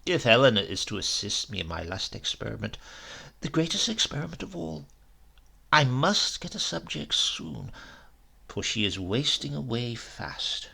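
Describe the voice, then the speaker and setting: A man's voice: mid-range voice, very animated, moderate pace, gravelly, bright, forceful tone, slightly rough, heavily acted. A man voicing a callous, obsessed Italian professor in a horror story, pompous and theatrical. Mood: coldly determined.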